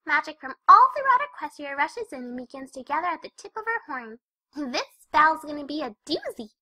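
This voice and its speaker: Casting: an exuberant cartoon pony girl storyteller, extremely high and bright, animated delivery. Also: brisk, exaggerated voice. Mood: lively narrating. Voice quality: squeaky, girlish. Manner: exuberant, gushing. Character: bubbly excitable young female pony narrating. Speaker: fan fiction reader. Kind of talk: animated first-person story narration.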